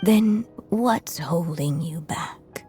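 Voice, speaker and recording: Gentle witch mother, wistful fading spirit, low-pitched, very unhurried, solemn and tender, video game drama. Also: soft background music. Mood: gentle, resigned. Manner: slow, measured, solemn and tender.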